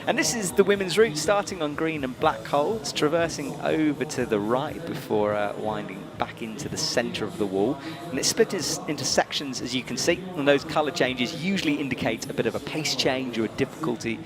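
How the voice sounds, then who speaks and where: A man's voice: mid-range voice, a little fast, hardly any pauses, crowd noise. A man presenting live sports commentary in an emphatic, conversational way. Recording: music behind the voice. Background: music.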